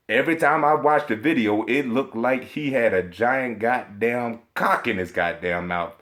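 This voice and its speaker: A man's voice: medium pitch, a little slow, fairly bright tone. A man ranting profanely about video games, emphatic and indignant, stumbling over repeated fillers as he hunts for words. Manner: emphatic and indignant, heavy swearing; rambling with stacked filler hesitations. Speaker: video game commentator. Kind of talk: profane ranting vlog commentary.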